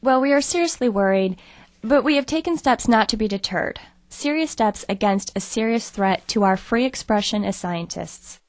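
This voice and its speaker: An actress playing an earnest, loyal lab researcher in a scripted audio drama, speaking formally. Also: female voice, fairly high pitch, lively intonation, normal speed, no echo.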